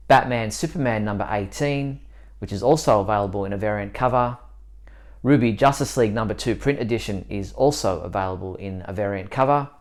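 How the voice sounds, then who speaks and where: Male host for a scripted news bulletin, medium pitch, clearly enunciated presenter read.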